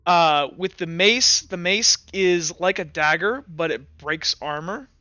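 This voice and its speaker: Bright, clear-voiced young man game master. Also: high voice, dry recording, muffled recording. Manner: halting, full of fillers and restarts. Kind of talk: narrating and explaining a tabletop game.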